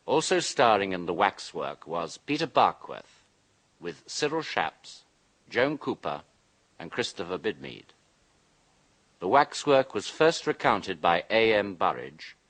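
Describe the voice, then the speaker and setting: Brief: male announcer, low voice, measured pace, formal credits read. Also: very animated, a bit bright.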